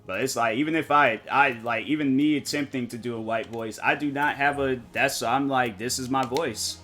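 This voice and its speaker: A man streaming a game and chatting casually, rambling and thinking out loud with lots of fillers. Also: medium pitch, moderate pace, soft background music. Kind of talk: casual live-stream chat.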